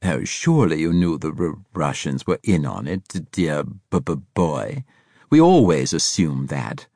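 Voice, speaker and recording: A man's voice: rumbling low, very animated, unhurried, dark tone, slightly rough, dry recording, studio quality, exaggerated voice. A man voicing a cheerful, flamboyant older spy who stammers on consonants and talks in an airy, condescending way. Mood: smug. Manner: airy, arch, condescending, effusive.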